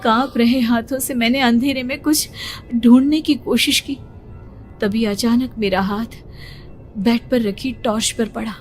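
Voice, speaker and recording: A woman's voice: a little high, steady tone, a little slow, faint music behind. A woman narrating a horror story in the first person with a suspenseful, theatrical delivery.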